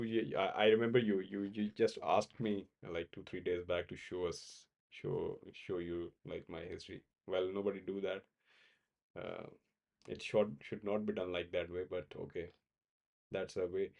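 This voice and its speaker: Man from Pakistan, low voice, halting casual livestream talk full of "uh" and repeats. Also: steady tone, vocal fry, a little mellow. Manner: halting, many fillers and repeated words. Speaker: crypto trading livestream host. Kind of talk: casual live-stream chat answering viewers.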